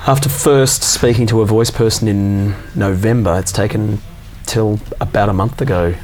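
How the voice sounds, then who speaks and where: A man in casual conversation, telling a long story in a relaxed, halting way with many fillers and restarts. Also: fairly low pitch. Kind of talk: casual chat.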